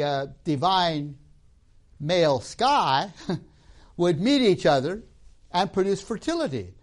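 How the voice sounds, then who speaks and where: A man who grew up in Tanzania giving a storytelling lecture on religion and myth, often ending points with a tag question. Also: on the high side.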